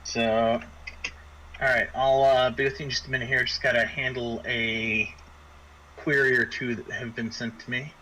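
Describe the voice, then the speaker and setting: A man's voice: medium pitch, flat delivery, normal speed, pushed and bright, low-quality recording. A man running a casual online tabletop game as game master, explaining things in a hesitant, stop-start way. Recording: faint background noise.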